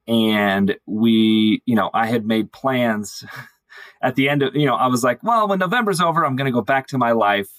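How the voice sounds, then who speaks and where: A man's voice: medium pitch, fairly bright tone, no room sound. A man speaking as a podcast guest, telling personal stories in a casual, conversational way.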